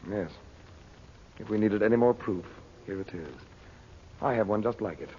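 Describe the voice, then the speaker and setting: A man playing a calm, cautious uncle in a 1940s radio adventure, speaking in a measured, commanding way. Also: fairly low pitch, lightly acted. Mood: grave, subdued. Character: calm, cautious uncle leading his young nephew through ruins. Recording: muffled, noisy old radio recording.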